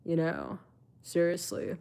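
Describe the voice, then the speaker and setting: A woman's voice: lowish voice, animated, slow pace, vocal fry, dark tone, clean tone. A woman in her twenties giving a blunt, chatty live-stream monologue, full of fillers and swearing. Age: 20s.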